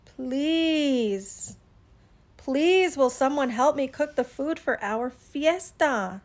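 A woman's voice: fairly high pitch, expressive, normal speed. A woman voicing a hardworking female storybook iguana, emphatic and insistent with her lazy friends. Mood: pleading.

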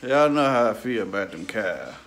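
A man's voice: on the low side, expressive, normal speed, a bit bright. Laid-back man hosting a family cooking vlog. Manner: laid-back, joking, addresses viewers as family. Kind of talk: casual family vlog chat.

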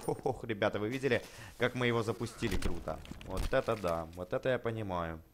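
A man hosting a casual, chatty let's play for children, excitable, full of exclamations, repeated words and affectionate diminutives. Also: lowish voice, a little fast, noisy background. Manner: uses many affectionate diminutives. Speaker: gaming YouTuber host.

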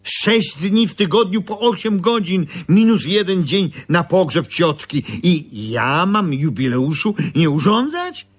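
Slow, grumbling, stubborn tailor. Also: male voice, high voice, very animated, slightly rough, full character voice. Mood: proud, aggrieved. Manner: stammering repeats, rambling complaints. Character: aggrieved old-fashioned bra tailor, grumbling, stubborn man. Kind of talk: Polish radio play acting.

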